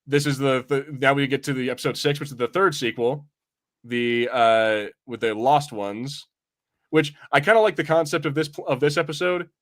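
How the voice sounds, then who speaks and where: A man's voice: mid-range voice, steady tone, moderate pace, a bit bright. A man hosting a podcast, chatting casually in a rambling, informal, sometimes sweary way about what he has watched. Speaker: podcast host. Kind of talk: casual review chat.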